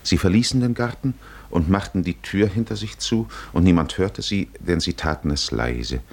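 A man narrating a literary 1950s radio drama in a solemn, measured manner with deliberate pauses. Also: low voice, darkish tone.

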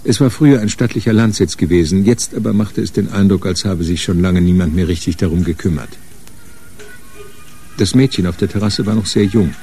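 An actor narrating a hard-boiled radio crime story. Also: male voice, sits low, fairly quick, warm tone, soft background music. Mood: descriptive.

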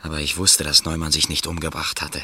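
A man's voice: very deep voice, level tone, a little fast, sharp tone, slightly rough, no room sound. A man narrating a radio drama in the first person, tense and brooding. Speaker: narrator and protagonist.

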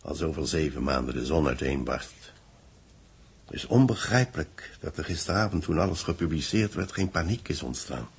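An actor playing a grave, formal newspaper columnist dictating a report in a 1960s radio drama, with a husky voice. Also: male voice, rumbling low, expressive, full character voice. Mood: grave, pensive. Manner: formal, measured, solemn phrasing.

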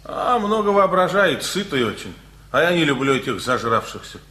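An actor playing a gruff, jocular, opinionated uncle in a radio play, with a blunt, grumbling, colloquial manner. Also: male voice, on the high side, big pitch swings, moderate pace, sharp tone, reverberant. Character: gruff, jocular, opinionated uncle, quick to scold his nephew.

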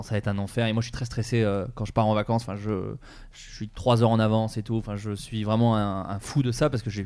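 A man hosting a podcast, telling stories in a casual, comedic and animated way and acting out bits of dialogue. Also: mid-range voice. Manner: animated, informal, acts out dialogue. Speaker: podcast host.

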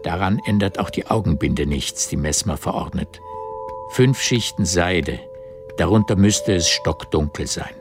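Male narrator for literary audio drama, deep register, measured scripted delivery, faint music behind. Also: lively intonation. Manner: measured, terse present-tense storytelling.

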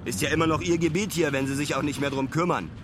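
Restless male traveller played by an actor, radio-play diary, higher voice, informal musing, noisy outdoor ambience. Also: quick pace, lightly acted. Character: restless young male traveller keeping a space-watching audio diary.

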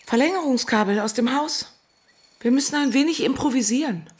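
An actress playing a brisk, practical, scheming manager of a home in a darkly comic radio drama. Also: female voice, sits high, natural voice. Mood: matter-of-fact.

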